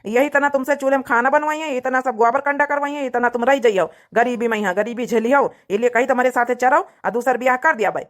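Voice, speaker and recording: A woman's voice: on the high side, fast talker, hardly any pauses, close to an everyday voice. A woman voicing an angry, protective brother in a cartoon family drama, blunt and scolding, with an Awadhi accent. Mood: scornful.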